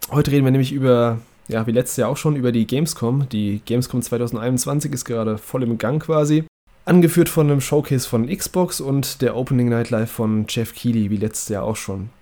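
A man's voice: mid-range voice, a little fast. Man with a stuffy head cold. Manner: relaxed, conversational, with fillers and restarts. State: congested from a cold. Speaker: podcast host. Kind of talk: casual podcast chat.

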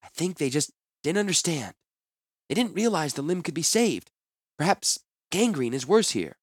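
Man voicing an earnest, high-pitched woman healer. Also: sing-song, normal speed, slightly rough, no echo, clean studio sound. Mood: soothing, earnest. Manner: earnest, imploring. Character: compassionate, steadfast woman healer, pleading and defiant. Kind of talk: dramatic fantasy audiobook character.

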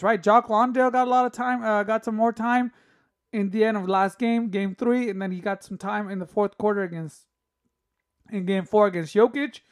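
A man hosting a solo sports podcast, talking casually and giving opinions, his voice raspy from a cough. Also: sits high. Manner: casual, opinionated, thinking aloud with fillers. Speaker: podcast host.

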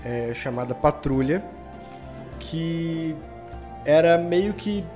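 Higher-voiced Brazilian man chatting as podcast guest. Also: lively intonation, takes it slowly, a little mellow, faint music behind. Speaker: podcast guest, audio drama creator. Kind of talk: casual interview chat.

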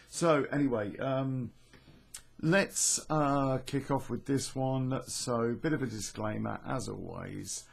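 British podcast guy, croaky and gravelly, kinda husky, rambles and swears. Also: medium pitch. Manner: rambling, self-deprecating, swears freely. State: hoarse from illness. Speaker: podcast host and storyteller. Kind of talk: casual chatty intro to a podcast.

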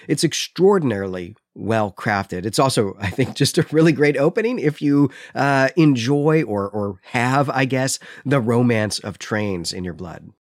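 A man talks as a podcast host recapping and discussing books. His delivery is measured and explanatory, in a mid-pitched voice. The sound is close and dry, with no room around it. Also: no room sound.

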